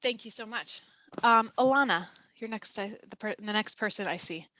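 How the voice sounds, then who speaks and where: A woman's voice: medium pitch, normal speed, pushed and bright, no echo, rough recording. A woman hosting a video-call meeting, giving brief, polite cues to the next speaker. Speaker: host running introductions. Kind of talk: meeting facilitation.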